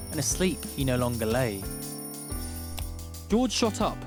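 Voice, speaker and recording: Male narrator, slightly high voice, theatrical rhyming storybook read, music bed behind.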